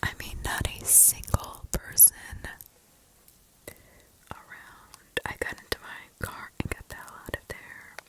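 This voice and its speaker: A woman reads scary stories in a hushed, breathy ASMR whisper with deliberate pauses, close to the microphone. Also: poor audio. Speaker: ASMR host. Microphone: close ASMR microphone, ear to ear.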